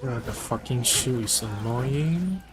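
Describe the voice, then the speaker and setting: A man giving casual, somewhat halting commentary while livestreaming a video game. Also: medium pitch, lively intonation. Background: faint game music.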